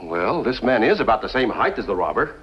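A flustered bank boss of the Old West, husky and very animated in pitch. It is vintage television western acting with a dull, muffled sound. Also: male voice, brisk. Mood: considering.

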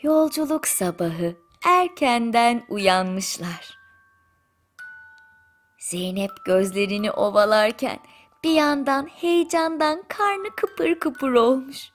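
A woman narrating a children's bedtime fairy tale in a gentle, soothing storytelling manner, with deliberate pauses. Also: on the high side, lively intonation. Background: music.

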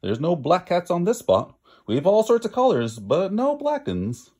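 A man reading a storybook, voicing a puzzled, soft-hearted old hill farmer in blunt rustic dialect. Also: sits high, huge pitch swings, unhurried, breathy edge, voice pushed up, heavily acted.

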